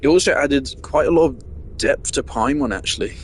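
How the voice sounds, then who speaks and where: A man's voice: medium pitch, normal speed, a little hiss or hum. A man giving casual, rambling game commentary, musing hesitantly and trailing off. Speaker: game streamer. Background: game music.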